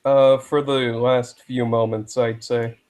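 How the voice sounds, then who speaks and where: A man's voice: mid-range voice, little pitch movement, slow pace, darkish tone. A man chatting casually as a guest on a live stream, chiming in with sarcastic interjections. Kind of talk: casual chat.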